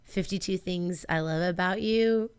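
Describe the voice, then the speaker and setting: A woman's voice: lowish voice, a little slow, smooth, no room sound. A woman co-hosting a radio show in casual banter, upbeat and gushing over sweet ideas. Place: radio studio.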